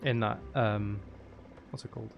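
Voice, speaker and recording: A man's voice: lowish voice, fairly even intonation, moderate pace, vocal fry, slight background noise. A man chatting casually on a live gaming stream, rambling and half talking to himself as he plays. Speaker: game streamer.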